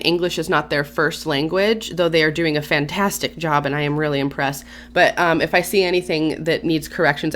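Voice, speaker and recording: A woman's voice: fairly low pitch, slight background noise. A woman hosting her own channel, reading a story aloud and breaking off for casual, chatty asides with sighs and small laughs. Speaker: YouTube channel host.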